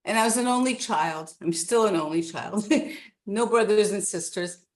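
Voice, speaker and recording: A woman's voice: medium pitch, normal speed. A woman in her sixties from Canada, a guest telling her life story in a rambling, casual chat with many restarts.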